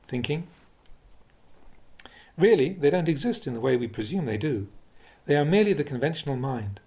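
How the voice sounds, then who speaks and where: A man, a Buddhist monk, reading spiritual teachings aloud in a measured, deliberate, instructive way, like an audiobook of a talk. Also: on the high side, warm tone. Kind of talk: audiobook-style reading of a dharma talk with questions and answers.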